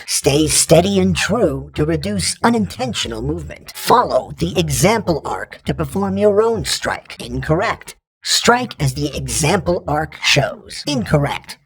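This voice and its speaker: Gravelly, raspy robotic training droid. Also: male voice, a little high, a bit bright, heavily acted, poor audio. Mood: correcting, stern. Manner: terse, formal, imperative, emotionless correction. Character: precise, stern male training droid instructor. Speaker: combat training instructor. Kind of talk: clipped scripted game tutorial instructions.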